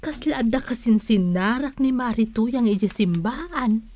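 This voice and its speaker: A woman playing a gossipy, motherly storekeeper in a comedy radio drama, chatty, with a drawn-out teasing delivery. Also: fairly high pitch, lively intonation, warm tone.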